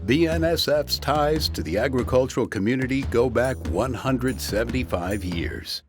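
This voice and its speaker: A man's voice: medium pitch, normal speed. A man reading a scripted corporate advertisement as a voice-over. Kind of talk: scripted corporate promo narration. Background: music.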